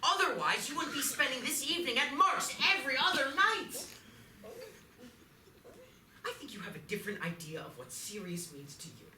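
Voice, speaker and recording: A woman's voice: sits high, big pitch swings, normal speed, bright, forceful tone, slight background noise, exaggerated voice. A woman reading the part of an insecure, stubborn middle-aged husband in a staged play reading. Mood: defensive. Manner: stage reading with sudden cracking outbursts. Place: theatre auditorium. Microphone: distant stage pickup. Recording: echoey theatre taped from far off.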